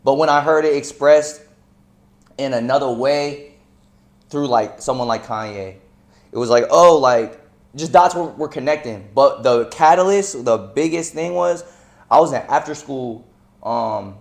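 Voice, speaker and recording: A man's voice: medium pitch, unhurried, a little mellow. A man in his twenties who grew up in North Carolina, talking loosely as an interview guest, full of slang and fillers. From United States (North Carolina). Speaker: guest musician. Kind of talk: casual interview chat.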